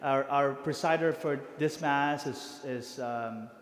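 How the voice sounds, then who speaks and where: A priest briefing parish volunteers, talking haltingly with many fillers and restarts. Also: male voice, mid-range voice. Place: church hall. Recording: reverberant church hall.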